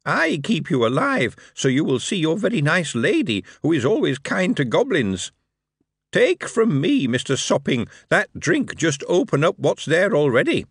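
A man putting on a sly high-pitched goblin. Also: wildly swooping pitch, normal speed, gravelly, breathy edge, dry recording, clean studio sound, full character voice. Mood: needling. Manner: broken grammar, sardonic and lofty. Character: sly mocking male goblin, small, broken speech.

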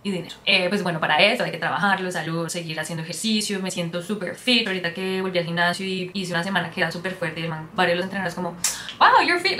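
A woman's voice: on the low side, pushed and bright, a little hiss or hum. A woman, chatting casually to her viewers as a vlogger and drifting into English now and then. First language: Spanish. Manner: chatty, rambling, switches into English mid-sentence. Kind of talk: casual talk to camera.

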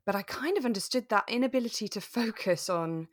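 A woman's voice: on the high side, lively intonation, brisk, no room sound, clean studio sound. A woman co-hosting a podcast, chatting casually and informally about a radio drama. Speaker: podcast co-host.

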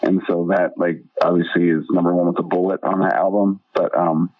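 Low halting man on a dull distant line. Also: little pitch movement, no room sound. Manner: halting, many restarts. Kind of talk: casual chat. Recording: dull, distant, dry sound.

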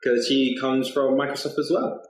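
A man's voice: mid-range voice, steady tone, normal speed, reverberant. A man hosting a podcast, upbeat and chatty, often restarting and rambling a little as he introduces the episode. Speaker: podcast host.

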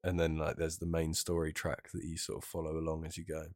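Male co-host, British, very low pitch, mild head cold, informal gaming talk, dry recording. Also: completely flat delivery, fast, a little mellow, no echo. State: bunged-up nose from a cold. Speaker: podcast co-host. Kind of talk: casual chat about video games.

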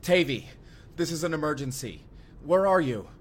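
A man's voice: on the high side, expressive, normal speed, slightly rough. An actor playing a desperate, foul-mouthed young man on the run in an audio drama. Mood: urgent, exasperated. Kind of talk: first-person immersive audio drama acting.

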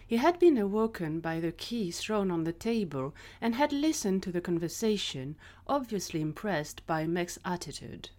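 A woman's voice: on the low side, very animated, moderate pace, hardly any pauses, a little mellow. A woman narrator reading scripted story narration for an audio drama.

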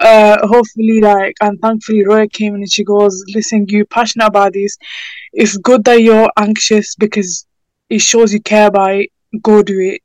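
Teenage female, British accent, fairly even intonation, earnest, informal, hesitant, interview answers, dry recording. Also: medium pitch, no room sound, low-quality recording. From United Kingdom. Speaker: interviewee, student campaigner.

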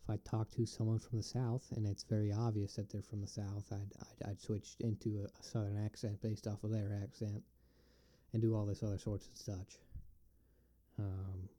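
A man's voice: lowish voice, level tone, dark tone. A man with an upstate New York accent, musing aloud in a casual, rambling solo video and often stopping to restart his thoughts. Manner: rambling, halting, thinks aloud. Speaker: vlogger answering questions.

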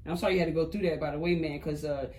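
A man hosts a relaxed interview and nudges his guest along with loose, filler-heavy questions. His voice sits high for a man, over a faint background hiss. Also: very fast. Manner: encouraging, easygoing, heavy with fillers. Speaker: interview host. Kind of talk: casual interview talk.

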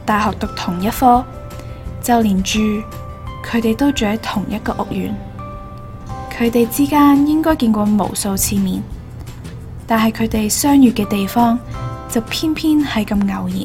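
Female narrator, fairly high voice, scripted storytelling, radio drama, faint music behind. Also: moderate pace, pauses often, a little mellow.

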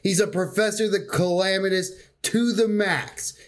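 A man's voice: sits high, a little slow. A man co-hosting a casual podcast, ranting about game lore in a blunt, emphatic, slangy and sweary way. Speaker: podcast co-host.

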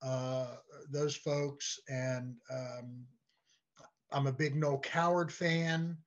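An American man chatting casually as an interview guest, telling anecdotes in a halting way and often repeating words. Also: mid-range voice, steady tone. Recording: dull, lo-fi call-like audio.

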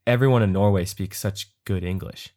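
A man interviewing a guest in a relaxed, joking, casual chat. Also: fairly low pitch, brisk.

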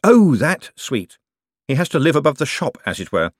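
A man voicing a polite, slightly uneasy male boss in an audiobook, probing and quick to apologise. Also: fairly high pitch, sing-song, no room sound, clean studio sound, voice pushed up. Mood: surprised. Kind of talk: audiobook character dialogue.

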